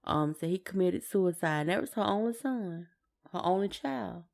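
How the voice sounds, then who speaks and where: A woman's voice: lowish voice, animated, normal speed, creaky, darkish tone. An American woman co-hosting a podcast, chatting casually with informal, somewhat hesitant phrasing. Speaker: podcast co-host. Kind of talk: casual conversational chat.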